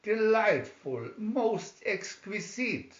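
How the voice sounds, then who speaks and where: A man's voice: high voice, big pitch swings, drawn-out words, voice pushed up, exaggerated voice. A man voicing a vain, pompous, easily flustered emperor in a storybook, with grandiose exclamations. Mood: feigned delight. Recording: roomy, dull lo-fi home recording.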